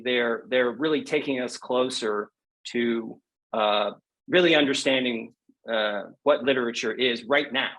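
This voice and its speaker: A man's voice: medium pitch, a bit bright, breathy edge, low-quality recording. A man speaking as a podcast interview guest, conversational and earnest, repeating phrases for emphasis. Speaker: interview guest, poet and prize judge.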